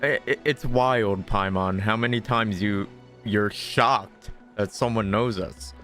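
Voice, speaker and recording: A man's voice: lowish voice, slow, fairly bright tone. A man giving casual gameplay commentary as a streamer, thinking aloud with wry, sarcastic asides. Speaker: game streamer. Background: game music.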